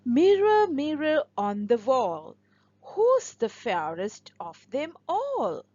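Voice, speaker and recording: A woman's voice: sits high, huge pitch swings, slow, darkish tone, no echo, highs cut off, full character voice. A woman voicing a vain, arrogant evil queen in a storybook, chanting imperiously. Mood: vain, demanding.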